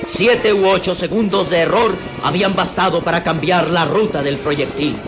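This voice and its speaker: A man's voice: sits high, normal speed, music in the background. A man narrating a radio serial in a solemn, suspenseful and emphatic dramatic style.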